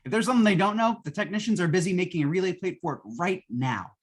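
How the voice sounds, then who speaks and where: A woman's voice: lowish voice, animated, fast talker, slightly rough. A woman playing a folksy, long-suffering salesman in a 1950s-style radio drama, giving colloquial, patient sales patter. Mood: eager, explanatory.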